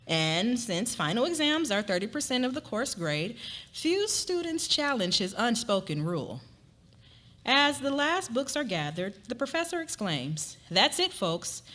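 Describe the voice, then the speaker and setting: A woman's voice: a little high, big pitch swings, normal speed, a bit bright. A woman narrating a scripted story as a dramatic reading.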